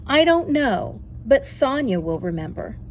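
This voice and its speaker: Storybook grandma, elderly, thoughtful and helpful, slightly high, slow delivery played by a woman reader. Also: very animated, a little hiss or hum. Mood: reassuring. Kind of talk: children's storybook read-aloud.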